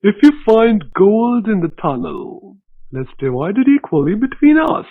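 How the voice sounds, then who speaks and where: A man playing a greedy, scheming merchant in an animated fairy tale, with a theatrical, drawn-out, pleading delivery. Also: high voice, phone-quality sound, heavily acted. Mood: sly, pushy.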